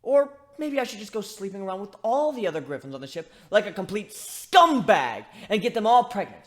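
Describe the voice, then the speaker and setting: A man's voice: high voice, big pitch swings, fairly quick, a bit bright. A man voicing a young male griffin in a dramatic story reading, hurt and bitter, ranting with sarcastic emphasis. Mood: bitter sarcasm. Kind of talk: dramatic fan fiction reading.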